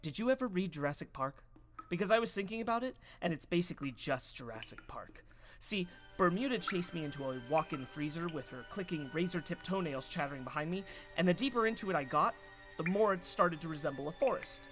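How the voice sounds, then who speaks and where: Man as rambling hunter over clear music. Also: fairly high pitch, lively intonation, fairly quick, a bit bright. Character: breathless, rambling monster hunter on the run. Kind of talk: comic audio drama acting.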